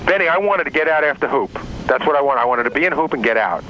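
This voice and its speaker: A man's voice: on the high side, expressive, quick pace, sharp tone, muffled recording, slight background noise. A man arguing insistently and with exasperation in a heated phone dispute, in a radio playback. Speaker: caller confronting his stockbroker.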